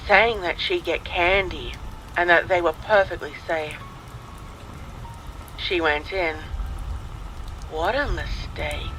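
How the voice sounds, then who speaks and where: A man's voice: sits high, pushed and bright, a little hiss or hum, rough recording. A man reading a scripted first-person ghost story, with rain and thunder sounds behind him. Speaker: horror story narrator. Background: rain and thunder ambience.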